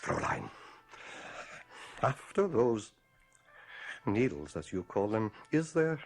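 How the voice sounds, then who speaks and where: An actor playing a grave, earnest doctor, formal and hesitant, in a gothic horror radio drama. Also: male voice, lowish voice, very animated, takes it slowly, soft background music, exaggerated voice. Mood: grave concern. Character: grave, earnest foreign doctor warning of evil. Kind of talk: old-fashioned gothic horror radio acting.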